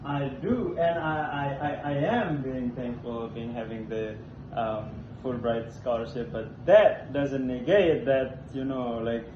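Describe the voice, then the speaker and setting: A young man from Indonesia, hosting a casual conversation in an apartment, talking haltingly and repeating words as he looks for the phrasing. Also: noisy background. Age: young adult. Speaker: host. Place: apartment room. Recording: echoey, dull low-fi room recording.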